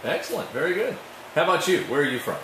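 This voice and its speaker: A man's voice: fairly high pitch, sing-song, quick pace, fairly bright tone. An American man doing a casual radio interview, chatty and encouraging, often chiming in with short interjections. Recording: echoey room with clear background noise.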